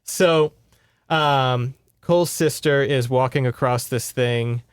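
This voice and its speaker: A man's voice: mid-range voice, slow pace, clear, resonant tone, dry recording, clean studio sound. A man co-hosting a podcast, retelling a book in a casual, informal, chatty way. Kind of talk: casual conversational book recap.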